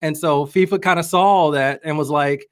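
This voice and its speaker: A man's voice: on the high side, steady tone, normal speed, a bit bright, clean tone, no echo, studio quality. An American man speaking as a podcast guest, giving informal, wry, conversational sports commentary. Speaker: podcast guest, soccer journalist.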